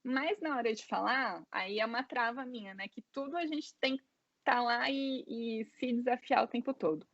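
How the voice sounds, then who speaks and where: A woman's voice: on the high side, normal speed, fairly bright tone, dry recording, lo-fi sound. A Brazilian woman in her thirties with a Brazilian Portuguese accent, telling her story as an interview guest in casual, filler-heavy talk. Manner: chatty, many fillers like "né" and "é".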